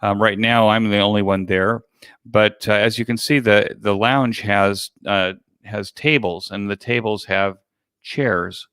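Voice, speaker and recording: Low, smooth-voiced man explaining things conversationally. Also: steady tone, unhurried, a bit bright, no echo, clean studio sound. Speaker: interview guest, online event organizer.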